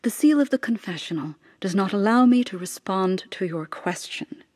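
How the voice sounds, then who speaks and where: An actress playing a proud, desperate middle-aged mother in a radio drama, speaking in halting, deliberate, insistent phrases. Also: medium pitch, expressive, a little mellow, no echo, studio quality, close to an everyday voice.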